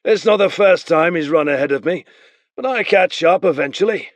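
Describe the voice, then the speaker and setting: A man voicing a gruff, wily warrior who brushes off a boy's claims. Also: on the high side, moderate pace, no echo, voice pushed up, lightly acted. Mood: dismissive. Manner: dry, dismissive. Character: gruff, wily male axe-carrying warrior.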